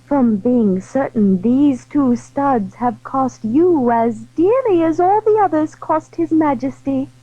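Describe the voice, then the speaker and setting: High-voiced, stately queen. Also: expressive, mellow, dry recording, muffled recording. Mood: gracious, pointed. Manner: formal, stately, measured. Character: gracious, regal queen, slyly triumphant. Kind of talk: audio drama acting.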